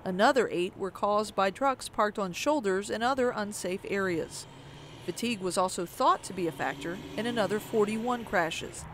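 A woman's voice: mid-range voice, slight background noise. Woman narrating a public information film. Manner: measured, informative. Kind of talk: scripted voice-over narration.

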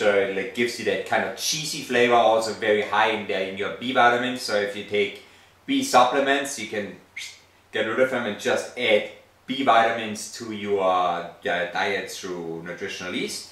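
A man from Austria in his thirties hosts a home cooking show as the chef. He talks brightly through each recipe step. The sound is roomy and distant, picked up by a camera mic across a big kitchen. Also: lowish voice, steady tone, moderate pace. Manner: upbeat, explains steps while cooking. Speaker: chef and co-host. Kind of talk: casual cooking show presenting. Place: large home kitchen, indoors.

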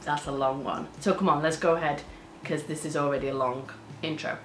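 A chatty woman talks to camera from her sofa as a reaction host, tripping over tricky words as she goes. Her voice sits fairly low and comes out quick. The living room adds a roomy echo over a faint hiss. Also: steady tone, fairly bright tone. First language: Spanish. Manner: chatty, stumbles over hard words, gestures a lot. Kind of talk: casual reaction chat.

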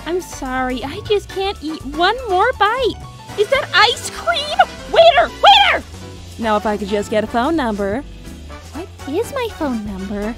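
A woman voicing a feisty, excitable young female hedgehog with a dramatic, sassy manner in a comic dub. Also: extremely high pitch, unhurried, faint music behind, heavily acted. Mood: desperate, dramatic.

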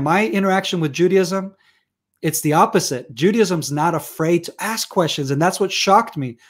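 A fairly high-voiced man in his thirties, from Israel and a native Hebrew speaker, talking with an American accent. He argues heatedly over a video call from home through wired earphones, stumbling into restarts as he pushes his case. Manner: passionate, argumentative, many restarts. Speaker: guest researcher. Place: room at home.

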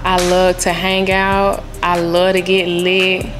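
A woman's voice: lowish voice, monotone, slow pace, fairly bright tone, soft background music. A woman in her late twenties from Florida, talking bluntly and unhurriedly in a confessional. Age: 20s. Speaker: reality show cast member.

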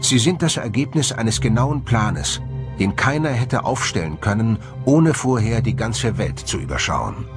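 A man narrating a scripted documentary in a measured, explanatory way. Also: fairly low pitch, animated. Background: music under the voice.

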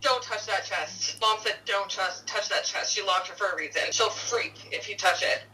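A woman's voice: mid-range voice, normal speed, bright, forceful tone, faint music behind. A young woman chats casually over a tinny speakerphone. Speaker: phone caller, family member. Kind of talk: casual chat. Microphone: phone speaker picked up by camera. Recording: distant tinny phone on speakerphone.

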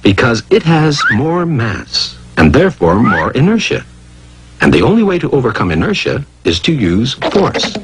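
A man's voice: mid-range voice, huge pitch swings, normal speed, a little hiss or hum. A man narrating an educational cartoon from a script in a theatrical, emphatic way, posing rhetorical questions.

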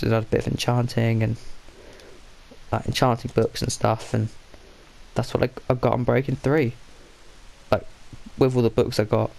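A man's voice: mid-range voice, vocal fry, darkish tone, rough recording. A man with a blocked, stuffy nose giving casual, rambling commentary while he plays a game, often correcting himself and muttering to himself. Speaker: gaming channel host.

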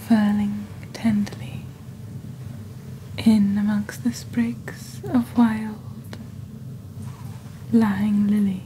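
A young woman playing a genteel witch shopping-channel host in soft-spoken ASMR, with a breathy near-whisper and a deliberate, gently formal delivery. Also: medium pitch, little pitch movement, a little hiss or hum, poor audio. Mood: soft, soothing. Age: young adult. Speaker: ASMR performer.

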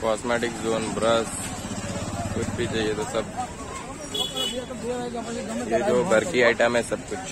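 A man's voice: fairly low pitch, completely flat delivery, takes it slowly, long pauses. A young man from India vlogging casually as he walks around an outdoor market, asking sellers about prices and haggling as he goes. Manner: chatty, haggling with sellers while walking. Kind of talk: casual walking market vlog. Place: busy outdoor street market. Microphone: handheld phone camera. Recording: noisy outdoor street recording. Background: crowd chatter and passing traffic.

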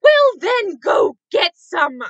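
A woman voicing a cruel, bossy innkeeper's wife who scolds in a shrill voice in a radio drama. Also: big pitch swings, takes it slowly, no room sound, lo-fi sound, heavily acted. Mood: scolding, impatient.

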